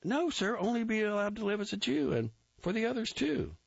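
A persistent young army private, as a male reader puts him on, pitched well above a man's usual voice. He sounds eager, overly polite and pleading. The recording is dull and dry. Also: expressive, fairly quick, vocal fry, no echo, full character voice.

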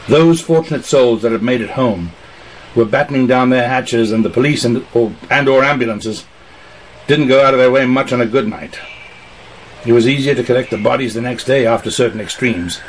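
A man's voice: medium pitch, close to an everyday voice. Hard-boiled noir antihero, acted by a man, radio drama monologue, mid-range voice, gritty and intense, slightly noisy track. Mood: bitter, weary. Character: hard-boiled noir man recounting violent night in tropics.

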